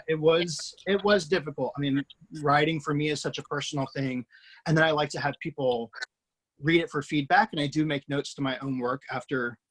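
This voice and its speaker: A man's voice: mid-range voice, a bit bright, rough recording. A man, the writer and host of an online table read, talking casually with many fillers and restarts over a video call.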